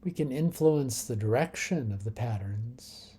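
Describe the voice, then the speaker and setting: A man leading a guided meditation in a calm, unhurried manner, leaving long pauses between short phrases. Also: mid-range voice, slightly rough.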